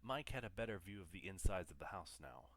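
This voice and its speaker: A man's voice: deep voice, very animated, brisk, heavy background noise. A man narrating an audio drama from a script, in the role of storyteller.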